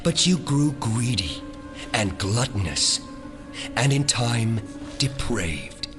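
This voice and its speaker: Vengeful audio drama villain, slow menacing speeches, music behind him. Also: male voice, mid-range voice, very animated. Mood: cold contempt. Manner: theatrical, deliberate, menacing oratory. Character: vengeful resurrected former victim, cold menacing male mastermind.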